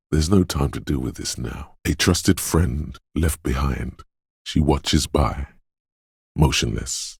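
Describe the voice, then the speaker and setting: A man voicing a detective's brooding, mystical intuition in a video game, with a deep, gravelly voice and slow, ominous, dreamlike delivery. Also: a little mellow, dry recording, clean studio sound, full character voice. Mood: mournful. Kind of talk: scripted video game narration in second person.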